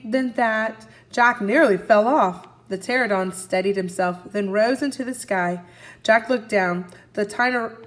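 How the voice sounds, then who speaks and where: A woman teacher reading a story aloud to young children, expressively, pausing to recap and ask the listeners questions. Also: mid-range voice. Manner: expressive, asks listeners questions and answers for them. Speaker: first grade teacher.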